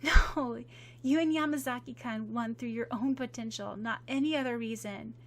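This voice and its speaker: A woman's voice: fairly high pitch, moderate pace, pitched above the performer's own voice, close to an everyday voice. A woman voicing a modest, kind teen girl who speaks earnestly.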